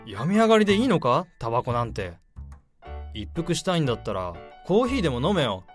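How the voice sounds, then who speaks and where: A breezy, easygoing office manager. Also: male voice, a little high, wildly swooping pitch, fast, faint music behind. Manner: casual, breezy and reassuring. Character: easygoing male manager, friendly to his old peer. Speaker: section chief, colleague of the protagonist. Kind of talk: radio drama dialogue.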